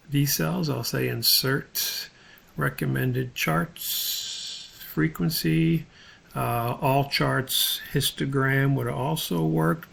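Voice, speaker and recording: A man with a gravelly, husky voice narrating an unscripted spreadsheet tutorial as an instructor, thinking aloud in a halting, step-by-step way. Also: medium pitch, a bit bright.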